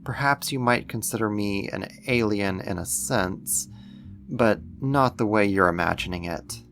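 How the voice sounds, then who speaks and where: Doting alien owner male actor, low soft-spoken ASMR delivery, soothing and coaxing, slightly condescending, faint music behind. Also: a little slow, creaky. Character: gentle, doting male alien-like being soothing a new pet.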